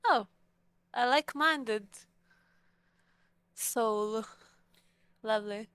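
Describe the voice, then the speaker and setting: A genteel, ambitious vampire lady played by a woman at a game table, high and smooth in voice. She is poised, polite and flattering, recorded close and dry. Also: extremely slow, dry recording. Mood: charmed, inviting.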